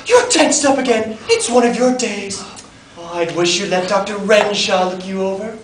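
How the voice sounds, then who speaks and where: A man's voice: sits high, expressive, normal speed. A man voicing a fretful, scolding middle-aged wife with a whiny delivery in a stage performance. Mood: nagging, alarmed. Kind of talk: theatrical dramatic interpretation performance. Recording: echoey room, distant mic, noisy.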